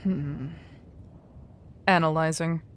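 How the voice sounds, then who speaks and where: Slow, menacing cyborg woman, acted out by a woman. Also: low-pitched, fairly even intonation, slight background noise. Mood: calculating. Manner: formal, clipped, threatening. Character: cold, menacing, imperious female cyborg villain. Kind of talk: audio drama villain acting.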